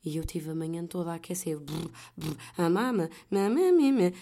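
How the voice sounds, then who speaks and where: A woman with a European Portuguese accent hosting a podcast, telling stories in a chatty, animated way. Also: on the low side, normal speed, creaky, a little hiss or hum. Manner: chatty, animated storytelling with laughs. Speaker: podcast host; voice-over artist. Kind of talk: casual chat.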